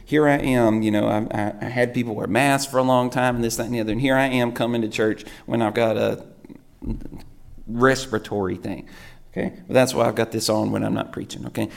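A man, a pastor preaching with a sore, hoarse throat, telling rambling self-mocking stories. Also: medium pitch, steady tone, a little fast. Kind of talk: sermon.

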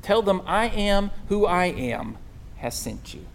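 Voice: mid-range voice, big pitch swings, slow, gravelly, full character voice. A preacher voicing a curt, blunt God. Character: God, blunt and matter-of-fact.

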